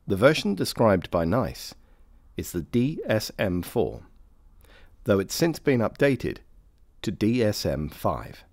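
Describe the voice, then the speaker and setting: A man reading scripted informational narration for a training film in a measured, formal manner. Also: lowish voice, big pitch swings, darkish tone, no room sound, studio quality. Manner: measured, formal, clearly phrased.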